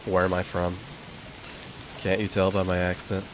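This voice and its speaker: A man's voice: sits low, monotone, moderate pace. Young man chatting casually over hiss. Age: young adult. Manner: rambling, with many fillers. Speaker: gaming streamer. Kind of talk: casual chat while playing a game.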